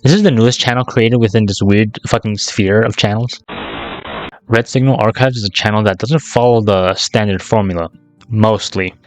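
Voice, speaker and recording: A man's voice: on the low side, fairly even intonation, moderate pace, rough recording. A man narrating a casual scripted YouTube commentary in a sarcastic, slangy, profane way. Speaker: YouTube video essayist.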